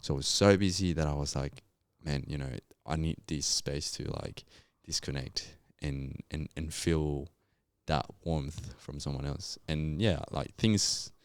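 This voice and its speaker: Young colombian guy, super low smooth voice, chatty and reflective, lots of "you know". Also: unhurried, few pauses, no echo. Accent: Colombian Spanish. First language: Spanish. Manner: reflective, storytelling, frequent fillers. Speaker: podcast guest. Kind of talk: casual interview chat.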